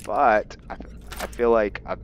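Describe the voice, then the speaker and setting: A man's voice: on the low side, unhurried, slight background noise. A man giving casual video game commentary with some roleplay, rambling as he thinks aloud. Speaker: let's play host.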